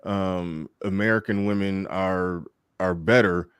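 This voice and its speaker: A man's voice: low voice, steady tone, slow pace, smooth, dry recording, studio quality. A man hosting a live call-in debate show, talking casually with lots of stammered restarts. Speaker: host.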